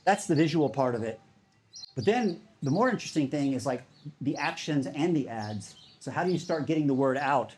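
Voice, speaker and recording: A man's voice: mid-range voice, expressive, fairly quick, darkish tone, slight background noise. A man giving a conference talk in a casual, enthusiastic way, with many fillers. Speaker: speaker.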